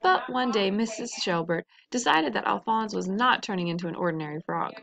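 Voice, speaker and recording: A woman's voice: mid-range voice, animated, brisk, gravelly, crowd noise. A woman reading a children's story aloud in a narrating style. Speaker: storybook reader.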